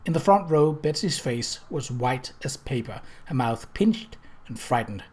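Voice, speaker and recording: A measured narrator reading a novel aloud. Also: medium pitch, animated, a little mellow, husky. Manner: literary, measured storytelling with character dialogue. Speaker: audiobook reader.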